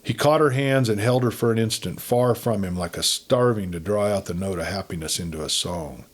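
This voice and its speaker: A man with a fairly low voice narrates a western adventure story. He reads as an audiobook storyteller, giving the prose a dramatic delivery. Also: a little fast, slightly rough.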